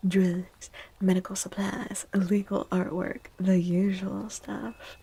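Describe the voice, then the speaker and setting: Slow, hushed, breathy woman doing ASMR. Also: mid-range voice, little pitch movement. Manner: soft, hushed, often slipping into whispers; playful, teasing. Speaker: ASMR streamer and tarot reader. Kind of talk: soft-spoken ASMR, casual chat with livestream viewers.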